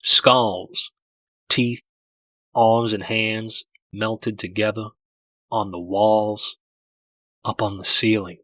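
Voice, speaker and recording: A man's voice: on the low side, steady tone, drawn-out words, long pauses, pushed and bright, no echo, exaggerated voice, poor audio. A man voicing an intense, guarded man with a temper in an audiobook, speaking with grave emphasis. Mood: grave, hushed.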